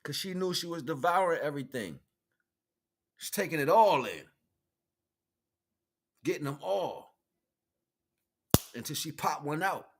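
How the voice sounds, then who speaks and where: A man's voice: fairly high pitch, expressive, measured pace, long pauses. An American man giving a rambling, emphatic, freeform spiritual monologue in casual street slang, swearing freely. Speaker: livestream host, self-styled spiritual teacher.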